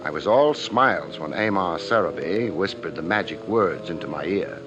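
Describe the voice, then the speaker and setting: A man's voice: sits low, lively intonation, moderate pace. A man playing a wry, hard-boiled private investigator in a 1950s radio drama, with a clipped tough-guy delivery. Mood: measured. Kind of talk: 1950s radio detective drama acting and narration. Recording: muffled 1950s radio recording with music.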